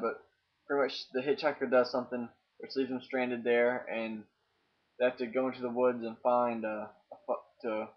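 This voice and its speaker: Young man, dull webcam sound. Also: mid-range voice. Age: young adult, about 18. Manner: hesitant, rambling, repeats himself. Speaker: amateur DVD reviewer. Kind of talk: casual, unscripted review vlog to camera. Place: small room indoors with posters.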